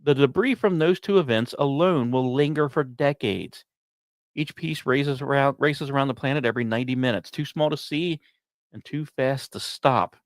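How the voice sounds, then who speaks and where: A man's voice: medium pitch, normal speed, no echo. A man hosting a podcast, reading scripted science commentary with emphatic, dramatic phrasing and informal asides. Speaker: podcast host.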